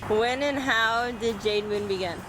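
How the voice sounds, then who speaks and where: A woman's voice: a little high, unhurried, over music, rough recording. Woman asking short interview questions. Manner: brief, plain questions.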